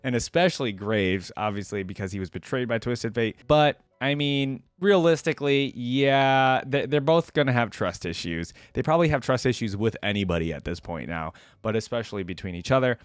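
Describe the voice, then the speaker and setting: A man's voice: mid-range voice, normal speed, hardly any pauses, smooth, no echo. A man with a mid-range voice reads game lore aloud as the host of a reaction video. He slips between narration and casual, conversational commentary. The sound is dry, with very little room in it.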